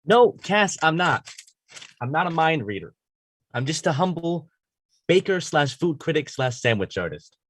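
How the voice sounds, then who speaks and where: Actor as blunt, sardonic sandwich man for a sitcom; dry delivery with lively pitch swings. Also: unhurried, heavily acted. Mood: deadpan, irritated. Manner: deadpan, dry. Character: Joey, blunt, sardonic deli baker and sandwich maker. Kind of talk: sitcom table read.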